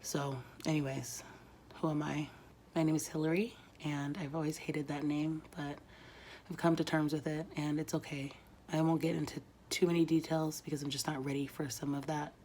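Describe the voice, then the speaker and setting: Woman, thirties, very low and monotone, congested and whispery, hesitant reflective vlog talk. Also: a little hiss or hum. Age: 30s. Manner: halting, reflective, many pauses and fillers. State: congested, losing her voice. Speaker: vlogger. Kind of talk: casual confessional vlog.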